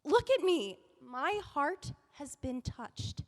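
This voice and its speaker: A woman plays an earnest young editor in an audio drama, her high voice swinging all over as she pleads and rushes from one thought to the next. A long, reverberant room tail hangs behind every line. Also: big pitch swings, full character voice. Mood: heartfelt. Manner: earnest, rushing, emotional pleading.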